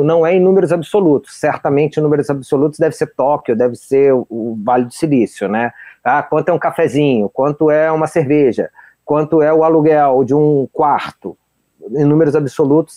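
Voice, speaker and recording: A man co-hosting a casual podcast, explaining points in a measured way. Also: a little high, warm tone, dry recording. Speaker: co-host.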